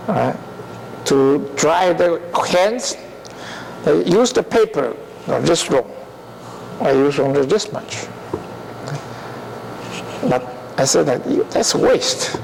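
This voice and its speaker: An older man gives a university lecture in a deliberate way, often asking the class rhetorical questions. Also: on the high side, creaky, darkish tone, slight background noise, rough recording. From Taiwan.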